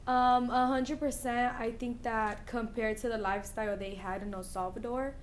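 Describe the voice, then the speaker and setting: A woman's voice: fairly high pitch, fairly even intonation, normal speed. Earnest young woman with a faint hum behind. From United States. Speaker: student interviewee. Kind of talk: documentary interview.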